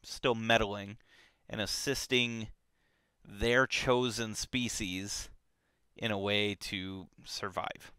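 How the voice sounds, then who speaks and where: A man talks through a book in a relaxed, animated way, stopping and restarting his sentences. His voice is bright and crisp, the sound dry and close, and his pace is unhurried. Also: on the low side, no room sound. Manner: animated, lots of fillers and restarts. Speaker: book club podcast host. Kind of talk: casual book discussion.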